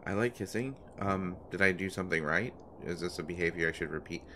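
A man's voice: low-pitched, a little fast, pushed and bright. A man playing an anxious, devoted boyfriend of a pregnant woman in an intimate roleplay audio, speaking in a hesitant, stammering way. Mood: playful, flustered. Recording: noticeable background noise.

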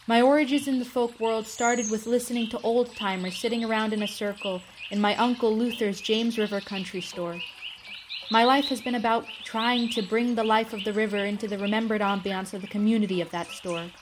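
A woman's voice: fairly high pitch, fairly even intonation, fairly quick. An American woman telling a scripted oral-history story in a narrating style. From United States. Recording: clear background noise with birdsong.

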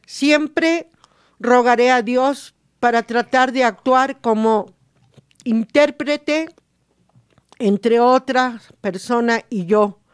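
A woman with a Mexican accent hosting a radio show, reading reflections aloud in a halting, deliberate way. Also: on the high side, clean tone, dry recording, studio quality.

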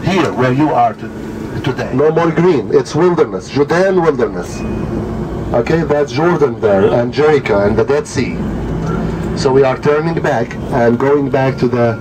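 A man's voice: mid-range voice, measured pace. A middle-aged man, guiding a bus tour in a fervent, preacher-like way with repeated rhetorical questions. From Greece. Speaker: tour guide. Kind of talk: guided tour commentary. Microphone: handheld mic, heard from camera a few rows back. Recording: distant voice over loud bus noise.

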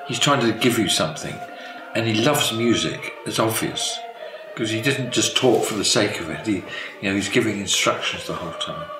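Soft-spoken older English man. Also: on the low side, animated, normal speed. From England. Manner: soft-spoken, hesitant, chuckling. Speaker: amateur choir singer. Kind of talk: documentary interview. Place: living room with leather sofas. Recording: roomy sound with music behind.

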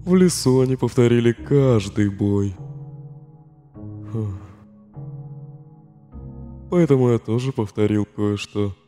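A man's voice: mid-range voice, takes it slowly, long pauses, mellow, lightly acted. A man voicing a weary, sardonic, protective skeleton in a comic dub, with a subdued, dry, sighing delivery. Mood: resigned, grim. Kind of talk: dramatic fan comic dub. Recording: long hall reverb, faint music behind.

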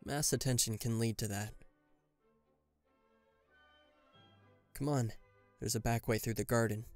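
A man's voice: medium pitch, fairly even intonation, brisk, darkish tone, soft background music, lightly acted. A man playing a guarded government bodyguard in an intimate listener roleplay, dryly teasing and reluctantly tender. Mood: calm, protective. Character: gruff, guarded male government bodyguard, secretly fond.

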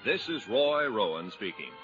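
A man's voice: on the low side, very animated, moderate pace. A man making scripted announcements as a 1950s radio announcer. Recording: dull old broadcast, loud music behind.

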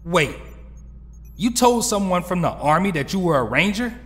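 Man acting high-voiced, hot-headed young hero. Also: big pitch swings, normal speed, fairly bright tone, big-room sound. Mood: angry. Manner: emphatic, confrontational, swearing. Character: hot-headed, loyal young male superhero fighting for his family. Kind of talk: audio drama acting.

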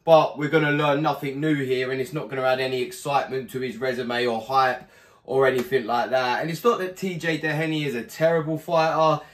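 A hoarse young man hosting a boxing channel, giving a blunt, animated fight preview to camera from a small home room, swearing freely. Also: medium pitch, brisk. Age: young adult.

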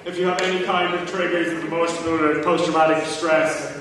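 A man's voice: a little high, little pitch movement, a little fast, fairly bright tone. A young Irish man hosting a convention panel in a casual, joking way. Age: young adult. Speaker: panel host. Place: large hall at a panel table, far camera. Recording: very echoey noisy hall, far off.

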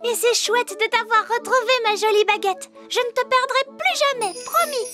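A woman voicing a sweet, excitable little fairy princess girl in a children's cartoon dub, childlike and earnest. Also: very high voice, moderate pace, faint music behind. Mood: delighted.

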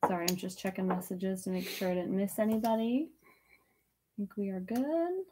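A woman's voice: mid-range voice, moderate pace, mellow, slight background noise. A woman in her thirties casually co-hosting an online auction over a webcam in a small room, chatty and giggly while checking messages. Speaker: auction co-host. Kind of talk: casual livestream auction chat. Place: indoors, small room with tiki decor.

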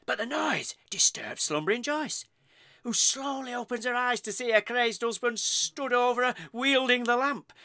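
Morbid, gossipy British husband, comic radio play. Theatrical and forceful, very dry close recording. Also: male voice, very high-pitched, dry recording. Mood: melodramatic. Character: excitable morbid husband spinning lurid murder theories.

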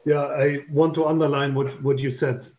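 Measured man on dull call audio. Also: mid-range voice, level tone. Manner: careful, many "uh" fillers, measured. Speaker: panel guest, space safety expert. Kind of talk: casual panel discussion on a video call. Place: home room, indoors.

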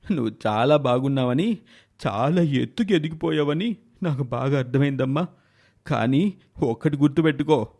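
A man's voice: mid-range voice, creaky, a little mellow. A man voicing a hurt, reproachful older father who admonishes his daughter in a dramatised moral story. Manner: admonishing, wounded.

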